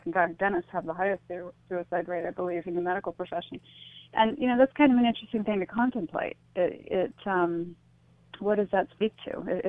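A middle-aged American woman speaking as a radio interview guest, reflective and halting as she restarts her thoughts. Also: fairly low pitch, dry recording. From United States. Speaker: interview guest, suicide-loss advocate. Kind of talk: earnest radio interview conversation. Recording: muffled phone-like radio line.

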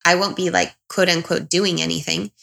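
A woman's voice: fairly low pitch, steady tone, normal speed, creaky, bright, forceful tone. A woman in her thirties talking candidly about herself as an interview guest, in a reflective, confiding, self-questioning way. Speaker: interview guest, single parent. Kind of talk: candid personal conversation.

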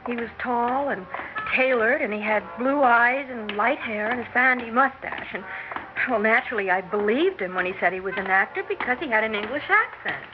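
A woman's voice: fairly high pitch, normal speed, natural voice. A young woman playing a persistent, talkative aspiring actress, earnest and flustered, in 1960s radio drama style. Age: young adult. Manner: earnest, flustered, stammering plea. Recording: dull vintage radio recording with hiss.

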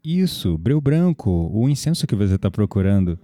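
An actor playing a calm, mysterious incense shop clerk in an audio drama, speaking quietly. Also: male voice, fairly low pitch, moderate pace, dry recording, clean studio sound, faint music behind.

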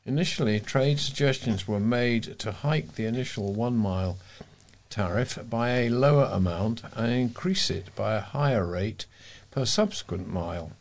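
A man's voice: mid-range voice, expressive, moderate pace. A British man reading local news items aloud as a volunteer newsreader.

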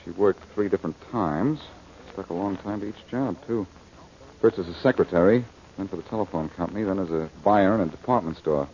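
A man's voice: low-pitched, fairly quick, a little mellow. A man playing a cocky, wisecracking amateur sleuth in 1940s radio style, glib and teasing. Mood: brisk, confident. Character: cocky, wisecracking male amateur sleuth, cool and quick-witted. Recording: muffled old-time radio recording, faint noise.

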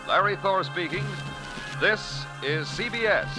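A man's voice: high-pitched, measured pace. A man with an American accent reading scripted announcements in 1940s radio style. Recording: vintage radio broadcast with music.

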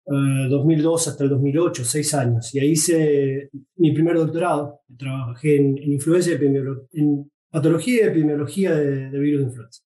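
Man in his 40s, argentine accent, mellow dark voice, lots of eh and repeats, echoey room. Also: a little high, recorded from a distance. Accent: Argentine Spanish. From Uruguay, raised in Argentina. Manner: hesitant, repeats words, many fillers. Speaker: guest veterinary pathologist. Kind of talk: interview answers.

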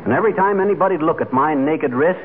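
A man's voice: on the high side, fast talker, lightly acted. A man playing a blustery, bumbling, boastful husband with folksy American wisecracks in an old-time radio comedy. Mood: tired, boastful. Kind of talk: 1940s radio comedy acting. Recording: scratchy, noisy, narrow-band old radio recording.

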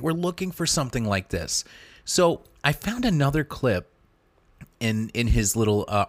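A man's voice: mid-range voice, fairly bright tone, no room sound. A man reviewing a podcast in casual commentary, enthusiastic and often repeating and restarting words.

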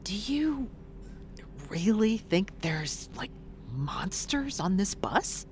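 An actress playing a blunt, skeptical, streetwise bus passenger in an audio drama, speaking colloquially. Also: female voice, medium pitch, big pitch swings, slow pace. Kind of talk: audio drama acting, conversational. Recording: faint background ambience noise.

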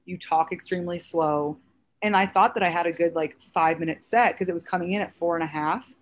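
Comedian guest, woman about thirty, raspy and a touch low, loose animated chat. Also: brisk. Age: around 30. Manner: chatty, animated storytelling with many fillers. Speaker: stand-up comedian, podcast guest. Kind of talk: casual podcast chat.

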